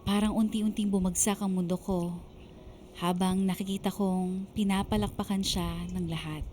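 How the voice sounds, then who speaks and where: A woman's voice: mid-range voice, steady tone, normal speed, darkish tone, a little hiss or hum, close to an everyday voice. A woman playing a hurt but resolute letter-sender, narrating her story in dramatic first-person radio style. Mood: shocked, hurt. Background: background music.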